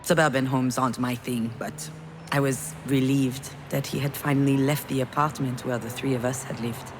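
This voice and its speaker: A woman's voice: very deep voice, steady tone, moderate pace, noisy background. An actress playing a melancholy, regretful woman in a video game, confiding hesitantly in an introspective monologue. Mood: brooding. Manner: hesitant, confessional, reflective. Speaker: video game protagonist narrating her memories.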